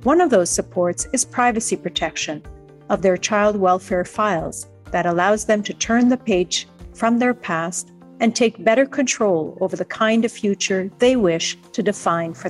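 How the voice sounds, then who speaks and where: A woman hosting a podcast, reading a formal, measured introduction. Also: medium pitch, faint music behind. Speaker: podcast host, privacy commissioner.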